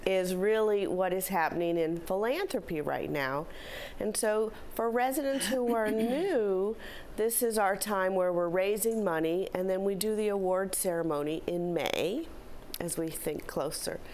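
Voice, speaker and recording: A middle-aged woman, a philanthropy director, slightly hoarse, giving upbeat, chatty announcements in a studio interview. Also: mid-range voice, measured pace, darkish tone. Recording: faint background noise.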